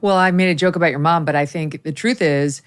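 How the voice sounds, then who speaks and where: A woman hosting an interview, asking lively, teasing questions with emphasis. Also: low voice, a little fast. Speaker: talk show host. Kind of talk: conversational interview.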